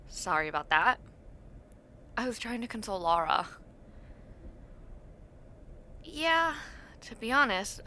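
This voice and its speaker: Bright, expressive young student neighbour played by a woman. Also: mid-range voice, slight background noise. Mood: sympathetic, exasperated. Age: young adult. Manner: teasing, casual, expressive with drawn-out words and murmured reactions. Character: friendly, teasing young woman university student, a neighbour in the dorm. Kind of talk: audio roleplay, casual one-sided conversation with the listener.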